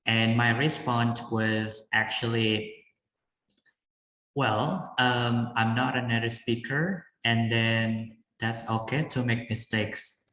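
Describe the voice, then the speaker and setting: A man's voice: medium pitch, fairly even intonation, fairly bright tone. Indonesian man in an echoey room. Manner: halting, frequent fillers and restarts. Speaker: guest speaker answering questions. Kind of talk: live online class Q&A.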